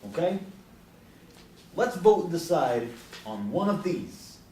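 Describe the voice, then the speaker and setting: A man's voice: a little high, sing-song, slow, darkish tone. A man acting in a stage play as an eager, aging Beatnik ringleader with an emphatic delivery. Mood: rallying. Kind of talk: stage play scene reading. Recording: echoey room from far off, faint noise.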